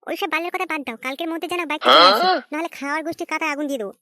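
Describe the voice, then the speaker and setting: A woman voicing a domineering mother and nagging wife in a comedy cartoon, threatening and shrill. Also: rapid-fire, pushed and bright, no room sound, clean studio sound. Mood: angry nagging.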